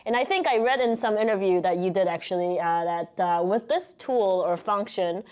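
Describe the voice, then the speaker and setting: Woman interviewer with a medium-pitched voice. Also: normal speed, a little mellow. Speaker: interview host. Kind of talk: conversational interviewing.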